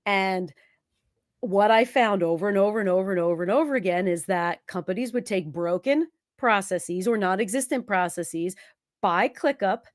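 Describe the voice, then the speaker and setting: A woman's voice: mid-range voice, dry recording, clean studio sound. Sniffly woman host with a cold. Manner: chatty, self-interrupting, many quick yeses. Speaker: host, productivity consultant. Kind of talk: live stream Q&A chat.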